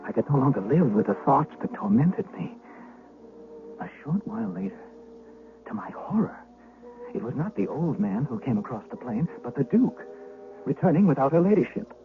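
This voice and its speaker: An actor playing a haunted shepherd who recounts his tale gravely and dramatically, as 1970s radio drama narration. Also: mid-range voice, big pitch swings, background noise. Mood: tormented. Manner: grave, dramatic, deliberate storytelling. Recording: narrowband vintage radio recording.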